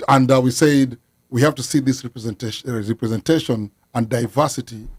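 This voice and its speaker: A man's voice: medium pitch, a little fast, slightly rough, dry recording. Earnest man, dry sound. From Kenya. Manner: earnest, explains at length with frequent fillers. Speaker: musician guest. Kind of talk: casual interview talk.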